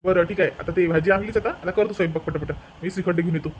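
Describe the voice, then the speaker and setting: A man acts out an easygoing, teasing husband from a family cartoon. He talks quickly in a very high voice for a man. Faint noise sits behind him. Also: fairly even intonation, dull audio, close to an everyday voice. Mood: agreeable. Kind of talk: animated family comedy dialogue.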